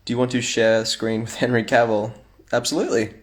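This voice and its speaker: A man's voice: medium pitch, flat delivery, brisk. A young man chatting casually on a phone livestream at home, reading fan comments aloud with playful asides.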